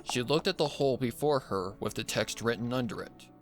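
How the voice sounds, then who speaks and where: A man reading a dark horror story as a dramatic scripted narration. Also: medium pitch, brisk, faint music behind. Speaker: fan fiction narrator.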